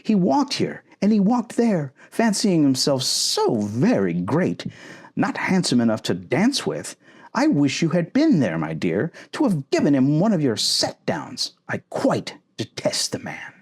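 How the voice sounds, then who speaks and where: A man voicing an excitable, gossipy middle-aged mother from a storybook, effusive and dramatic. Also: fairly high pitch, measured pace, slightly rough, voice pushed up, exaggerated voice. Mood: indignant, bitter. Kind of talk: storybook character acting.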